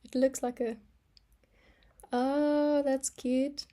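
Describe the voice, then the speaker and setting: Young woman, first language Afrikaans, relaxed rambling artist vlogger; unhurried, mellow, lots of ums. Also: high voice. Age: young adult. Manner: rambling, soft-spoken, with frequent ums and small laughs. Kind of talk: casual rambling vlog while stamping and sketching.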